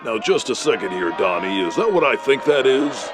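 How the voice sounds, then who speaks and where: A man's voice: mid-range voice, very animated, normal speed, natural voice. A man acts as an earnest 1980s wrestling announcer holding the mic in a TV drama scene. He is mild and hesitant as he objects, in a mid-range voice. A light crowd and faint music sit behind him. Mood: uneasy, protesting. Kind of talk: 1980s wrestling broadcast acting.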